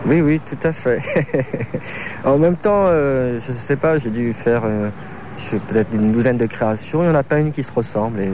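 A man in his thirties, a choreographer from France, answering interview questions in a halting way, searching for words with many fillers. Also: mid-range voice, a little mellow, a little hiss or hum. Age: 30s.

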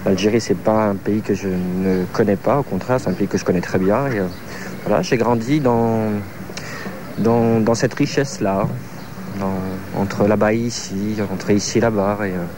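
A man in his thirties, a choreographer from France, answering interview questions in a halting way, searching for words with many fillers. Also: fairly low pitch, fairly even intonation, background noise. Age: 30s.

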